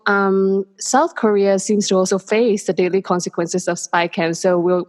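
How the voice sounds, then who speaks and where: A woman hosting a podcast, introducing the subject and guest in a lightly scripted way. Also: mid-range voice. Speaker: podcast host.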